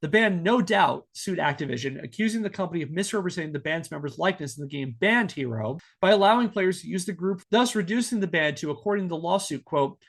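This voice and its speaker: A man hosting a podcast, telling a researched story to friends in a partly scripted, measured way. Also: sits high, expressive. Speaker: podcast host.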